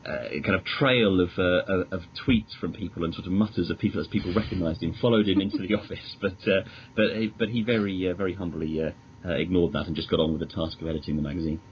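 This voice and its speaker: A man's voice: sits low, steady tone, fast talker, few pauses. A British man chatting casually as an interview guest, talking in a hesitant, rambling but enthusiastic way. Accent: British English. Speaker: magazine editor, podcast guest. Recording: dull lo-fi audio with clear noise.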